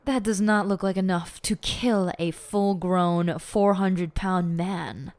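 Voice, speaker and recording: Casting: smug lady detective for audio drama , played by a woman, bossy and theatrical, unhurried delivery, lowish register. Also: darkish tone. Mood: deliberate, musing. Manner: pompous, deliberate, theatrical phrasing. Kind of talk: audio roleplay acting.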